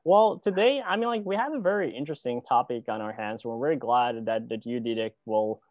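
A man's voice: mid-range voice, a little fast, no room sound. A man hosting an interview, chatty and loose, filling his speech with "you know" and "like". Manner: rambling, many "you know" and "like" fillers. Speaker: host. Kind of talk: casual interview. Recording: dry, dull lo-fi audio.

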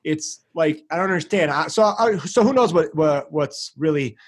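A man's voice: a little high, animated, normal speed. A man born in Greece whose first language is Greek, chatting as a podcast guest and often repeating words as he starts a thought. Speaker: podcast guest, marketing agency founder. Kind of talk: casual chat.

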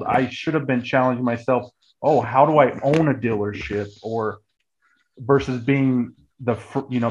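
A man's voice: mid-range voice, a little mellow, muffled recording, slight background noise. An American man in his early forties telling his story in a casual, conversational way, often restarting and repeating phrases mid-thought. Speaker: guest, real estate agent.

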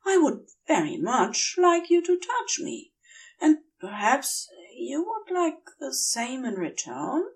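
Lady playing a dazed polite angel guy, high lilting voice, rambling earnestly, very formal. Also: very animated, takes it slowly, recorded from a distance, exaggerated voice. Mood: earnest longing. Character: prim, earnest, dazed male angel confessing longing.

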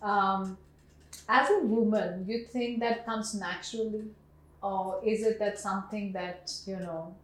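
A woman's voice: mid-range voice, unhurried. A woman hosting an interview show, chatty and effusive, with many fillers. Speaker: show host. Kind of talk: interview conversation. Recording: roomy room sound.

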